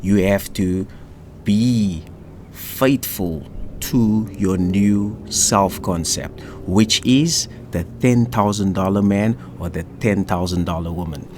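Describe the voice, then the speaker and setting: A man co-hosting a casual coaching chat about self-concept, emphatic and fond of repeating phrases and saying "boom". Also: slow pace, a little mellow, a little hiss or hum. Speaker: manifestation coach, co-host.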